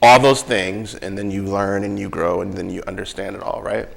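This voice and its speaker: A man's voice: low-pitched, steady tone, normal speed, gravelly, a crowd in the background, low-quality recording. A man in casual, reflective conversation, telling his experiences emphatically and often checking in with rhetorical questions. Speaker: school bus monitor sharing experiences.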